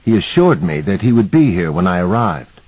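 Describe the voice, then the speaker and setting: A man's voice: deep voice, very animated, moderate pace, slightly rough, no room sound, highs cut off, heavily acted. A man voicing a shy, courteous male professor with a Scandinavian accent in an audiobook, polite, formal and hesitant. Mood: puzzled, concerned.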